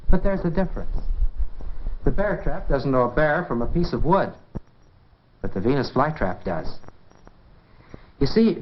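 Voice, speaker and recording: A man's voice: mid-range voice, a little hiss or hum. An American man presenting a 1950s educational science film, explaining in a conversational, folksy way with rhetorical questions. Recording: dull 1950s film soundtrack.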